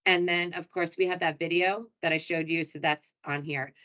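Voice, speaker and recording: A woman with a New York accent talks through a webinar in a chatty, upbeat way and pokes fun at herself. Her voice sits a little low. The sound is muffled and lo-fi, as if it comes through a computer mic on a video call. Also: fairly even intonation, normal speed, bright, forceful tone. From New York City. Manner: chatty, upbeat, self-deprecating. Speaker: hotel sales director presenting a webinar. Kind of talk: casual webinar presentation.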